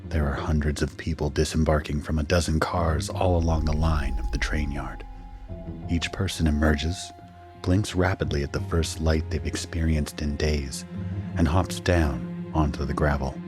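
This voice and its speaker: An actor playing a shaken husband and father who narrates his ordeal in a grave, measured, first-person style for an audio drama. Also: very deep voice, fairly even intonation, music in the background. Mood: grave, tense. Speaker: narrator and main character.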